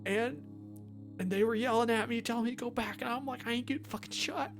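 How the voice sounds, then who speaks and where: A man's voice: extremely high pitch, vocal fry, a bit bright, faint music behind, voice pushed up, heavily acted. A man voicing a guilty, distraught young man in a tabletop game, stammering and swearing through a confession. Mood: tearful panic. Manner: halting, stammering, slangy and sweary.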